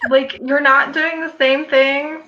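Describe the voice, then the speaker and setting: A woman's voice: high-pitched, level tone, slow pace, background noise. A flustered, exasperated woman talking casually as a guest on a livestream video call. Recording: muffled lo-fi call audio.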